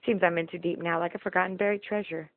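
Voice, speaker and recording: A woman's voice: fairly low pitch, fairly even intonation, fast talker, no echo. Woman reciting slam poetry, dull lo-fi recording. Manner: emotional, rhythmic rhyming delivery. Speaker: slam poet.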